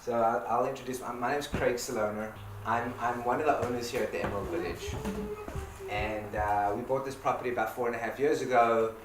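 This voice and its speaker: A man's voice: mid-range voice, a little fast. A man from Africa, speaking informally to a live audience, often repeating and restarting his words. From South Africa. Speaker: community owner giving a talk. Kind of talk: personal talk to an audience. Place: event space with a live audience. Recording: roomy echo, distant mic, background noise.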